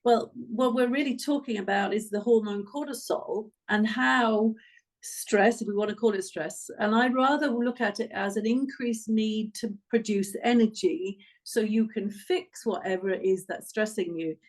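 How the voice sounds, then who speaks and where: A woman's voice: mid-range voice, normal speed, rough recording. A woman in her sixties with an English accent, explaining things conversationally as an expert guest. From England. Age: 60s. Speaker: guest physiotherapist and hormone expert.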